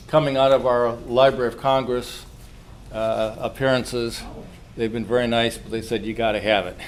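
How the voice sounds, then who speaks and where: A man hosting an informal club meeting, speaking to the room in a folksy, halting way with repeats and self-interruptions. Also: mid-range voice. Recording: noisy live meeting room recording.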